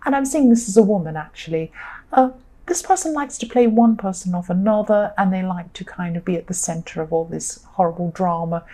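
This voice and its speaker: A woman with a slightly croaky voice talks through a tarot reading at a middle pitch. She sounds chatty and reassuring and keeps restarting her sentences around frequent fillers. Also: dark tone. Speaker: tarot reader. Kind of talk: solo tarot reading monologue.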